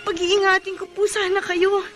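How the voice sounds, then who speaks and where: A tearful pleading young villager. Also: female voice, very high-pitched, level tone, moderate pace, a bit bright, faint music behind. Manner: polite and pleading, often tearful. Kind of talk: radio horror drama acting.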